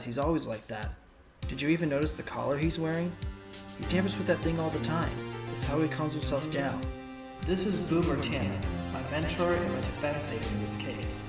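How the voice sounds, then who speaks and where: A man's voice: on the high side, moderate pace, lightly acted. A man playing a sly, laid-back fox, an ex-con turned rookie lawyer, in an amateur audio drama, with a wry, casual, teasing delivery. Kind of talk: amateur audio drama with first-person narration. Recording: music bed under the voice.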